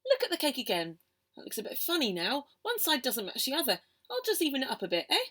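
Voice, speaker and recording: A woman performs a poem for children as a storyteller. Her voice is fairly high and very lively, swinging widely in pitch. The delivery is theatrical and expressive. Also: fast. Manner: theatrical, expressive, with hushed whispers and exclamations. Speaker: storyteller reading a poem aloud.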